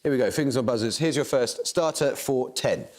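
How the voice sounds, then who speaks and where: A man hosting a television quiz show, reading questions and introductions in a crisp, measured way. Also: mid-range voice. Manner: crisp, measured, lively intonation. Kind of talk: scripted quiz presenting. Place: television studio.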